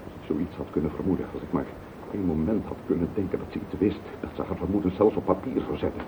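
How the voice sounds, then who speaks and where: An actor playing a hot-tempered, guilty husband who blusters indignantly in a Dutch radio play. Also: male voice, fast talker. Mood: bitter, defeated. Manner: indignant, blustering, prone to outbursts. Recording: muffled phone-like old radio recording, loud rumbling noise.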